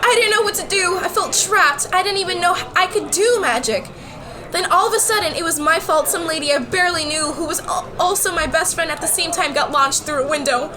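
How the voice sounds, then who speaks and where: Woman playing a high-voiced nervous schoolgirl, chatter behind. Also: a little fast. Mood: breathless recounting. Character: nervous, bewildered schoolgirl new to a magic school. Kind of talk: first-person story narration and acted dialogue in an amateur radio play.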